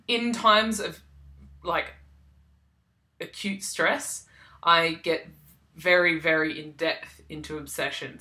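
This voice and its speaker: A woman's voice: low voice, bright, forceful tone. A young woman from South Australia with a general Australian accent, chatting casually to camera in a loose way, with many ums and restarts. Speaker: vlogger.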